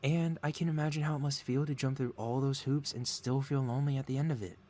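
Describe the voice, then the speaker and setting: A man voices a mad scientist villain for an intimate ASMR roleplay. He is a mid-pitched male schemer who coaxes and flatters the listener with soothing devotion. Beneath the innocent act, he is possessive. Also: quick pace, a little hiss or hum, lightly acted. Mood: soothing, reassuring. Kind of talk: ASMR audio roleplay, intimate one-sided dialogue.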